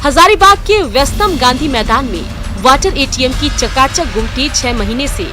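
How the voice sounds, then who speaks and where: A woman's voice: sits high, expressive, moderate pace, pushed and bright, soft background music. A woman with an Indian accent delivering a scripted television news voice-over. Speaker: news narrator.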